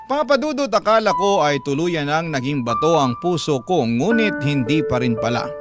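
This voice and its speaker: A man's voice: fairly high pitch, animated, moderate pace, loud music behind the voice, close to an everyday voice. An actor playing a wounded eldest son, narrating his own story in a radio drama. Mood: softened, reflective. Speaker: letter-sender narrator. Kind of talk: dramatised first-person narration.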